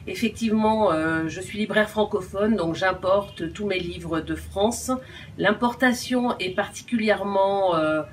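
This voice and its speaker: A bookseller gives a recorded testimony, speaking slowly with many hesitant pauses. She sits a little away from the microphone, and a faint background hiss runs under her voice. Her pitch is ordinary middle. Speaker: bookseller, entrepreneur. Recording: distant mic with faint room noise.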